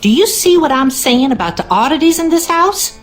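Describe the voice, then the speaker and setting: Folksy Southern cleaning woman, animated. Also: high-pitched, a little fast, soft background music. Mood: knowing, conspiratorial. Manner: folksy, knowing, ominous. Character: superstitious, wry Louisiana cleaning woman. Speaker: game character, cleaning lady. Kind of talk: video game character dialogue.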